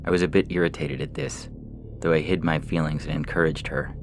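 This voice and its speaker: A very low-pitched man narrates literary fiction with a gravelly, creaky edge. His reading is measured and formal, and music plays clearly beneath his voice. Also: expressive. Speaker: story reader. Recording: music bed under the voice.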